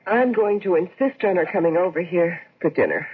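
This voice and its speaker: A woman's voice: lowish voice, animated, fairly quick, a little hiss or hum, close to an everyday voice. An actress playing an insistent, emotionally taut married woman worried about her widowed mother in a radio drama. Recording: muffled old radio broadcast recording.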